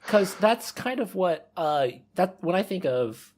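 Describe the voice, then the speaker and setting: A man's voice: high-pitched, big pitch swings, unhurried, a little mellow. A man hosting a casual podcast discussion, rambling and rephrasing as he thinks aloud. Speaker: podcast host.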